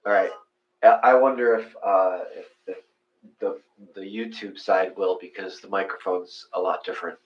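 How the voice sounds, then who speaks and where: A man hosting in casual, rambling chat, often restarting and restating his thoughts in a halting way. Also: sits low, fairly even intonation. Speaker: host of live guided meditation sessions. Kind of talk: casual chat with viewers and co-host. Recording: dull, muffled home livestream audio.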